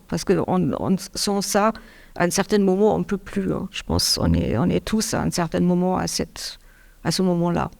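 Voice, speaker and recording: A middle-aged woman from Germany speaking French with a German accent, giving a hesitant, halting caregiver's testimony with many restarts. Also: medium pitch, gravelly, a little mellow. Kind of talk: public testimony interview.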